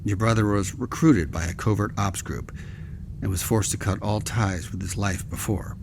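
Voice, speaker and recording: Actor as a grave, low-voiced admiral. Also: male voice, fairly even intonation, background noise, lightly acted. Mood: solemn. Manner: measured, formal, deliberate. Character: grave, formal male senior navy admiral. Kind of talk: dramatic audio drama acting.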